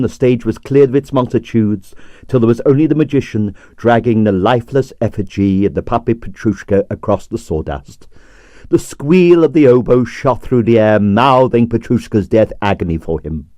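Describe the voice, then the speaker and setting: Expressive male audiobook narrator. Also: medium pitch, no echo. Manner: expressive, lively storytelling. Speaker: audiobook reader.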